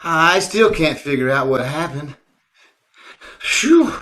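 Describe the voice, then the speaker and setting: A man's voice: fairly high pitch, animated, slow, a bit bright. A man playing a bashful, awkward teacher with hesitant, stammering delivery in old-time radio comedy style over a video call. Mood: sheepish, baffled.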